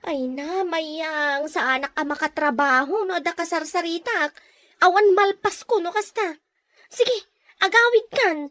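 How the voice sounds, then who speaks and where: An industrious, house-proud neighbor woman with an Ilocano accent for a radio comedy. Her voice is high and closely recorded, with no room sound. She is firm and has no time for idle chat. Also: measured pace, a bit bright, no echo, clean studio sound. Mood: brisk, impatient.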